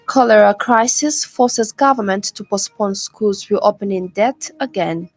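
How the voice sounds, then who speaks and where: A woman's voice: medium pitch, measured pace. Female news presenter, Zambian accent, scripted bulletin delivery, music behind.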